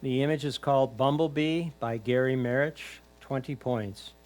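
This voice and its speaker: A man acts as score announcer for a photography competition. He reads out titles, names and points in a middle-pitched voice. The style is a formal announcement. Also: moderate pace, darkish tone, poor audio.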